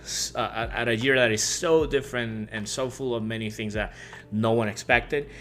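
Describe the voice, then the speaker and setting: A man's voice: medium pitch, moderate pace, a bit bright, soft background music. A man in his thirties from Puerto Rico, a native Spanish speaker with a Puerto Rican accent, giving a friendly, rambling welcome talk to parents as a teacher, full of fillers and restarts. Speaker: high school teacher and advisor. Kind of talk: casual welcome video for parents.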